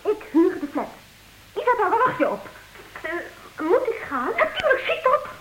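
An imperious, indignant duchess in a comic radio play. Her voice is high and leaps about expressively. A faint hiss lies over the old recording. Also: wildly swooping pitch, moderate pace, fairly bright tone, exaggerated voice, poor audio. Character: haughty, combative duchess rival for a flat.

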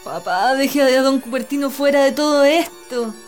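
An actress playing an emotional, pleading young daughter in love in a radio drama. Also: female voice, sits high, moderate pace, faint music behind, lightly acted. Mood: anxious, pleading.

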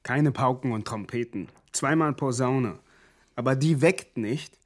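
A man playing a devout, nervous refugee in a radio crime drama, speaking earnestly in terse, halting, simple sentences. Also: medium pitch, lightly acted. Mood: earnest, instructing.

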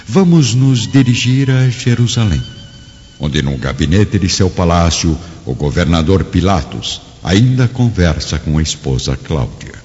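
Male narrator, very low voice, sweeping intonation, solemn, theatrical, radio drama, reverberant muffled recording. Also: darkish tone. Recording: reverberant, dull lo-fi audio over music.

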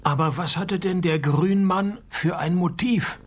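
An actor playing a wry, world-weary private detective in a radio crime comedy, deadpan and sardonic. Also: male voice, high voice, a little slow, slightly rough. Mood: dry, wry. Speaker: detective and narrator. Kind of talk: radio crime comedy, first-person noir narration.

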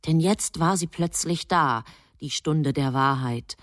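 A woman narrating a German radio drama in a sober, measured, literary manner. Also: sits low, no echo, clean studio sound.

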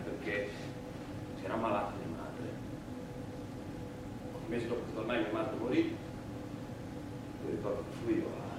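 An actor playing an earnest Italian immigrant worker and anarchist on trial, giving halting, plain-spoken theatrical testimony in an Italian accent. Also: male voice, on the low side, lightly acted. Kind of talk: theatrical monologue, courtroom testimony. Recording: very echoey, far-off mic, noisy stage recording.

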